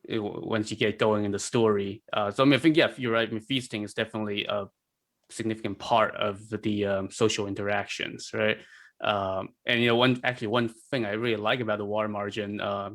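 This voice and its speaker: A man's voice: fairly low pitch, little pitch movement, a little fast, a bit bright. A man speaking as a podcast guest, explaining things casually and often rephrasing as he goes.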